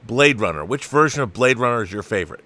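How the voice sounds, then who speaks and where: Male host, middle-aged, forceful bright voice, opinionated and enthusiastic in casual fan chat. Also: medium pitch, expressive, quick pace, no room sound. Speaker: show host.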